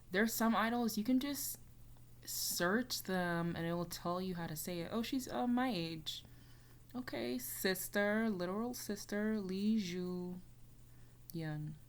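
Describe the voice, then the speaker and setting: A woman's voice: medium pitch, slow pace, long pauses. A young woman chatting casually as she reacts to a music video, rambling with lots of fillers. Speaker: reaction YouTuber.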